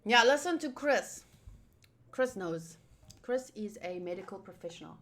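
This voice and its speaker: A woman's voice: medium pitch, animated, measured pace, fairly bright tone. A woman livestreaming a visual novel playthrough, reading game text aloud and adding playful, chatty asides. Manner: playful, chatty, self-interrupting. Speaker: streamer playing a visual novel.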